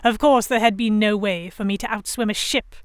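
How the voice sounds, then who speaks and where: A woman playing a young Victorian noblewoman captive, narrating her story in a measured, literary first person. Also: mid-range voice, fairly even intonation, dry recording, close to an everyday voice. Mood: dazed, reflective. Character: young English noblewoman captive, reflective, stubborn, Victorian era. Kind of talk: first-person scripted narration in an audio drama.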